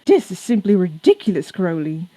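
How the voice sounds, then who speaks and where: A woman's voice: lowish voice, big pitch swings, normal speed, dark tone. Woman doing a prim, easily scandalised male angel. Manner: incredulous, formal.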